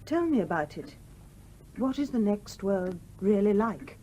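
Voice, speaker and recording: A woman's voice: medium pitch, big pitch swings, measured pace, dark tone, slight background noise, rough recording. An actress in a radio play playing a genteel, scrupulous mother who is polite but flustered and argumentative. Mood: puzzled, questioning.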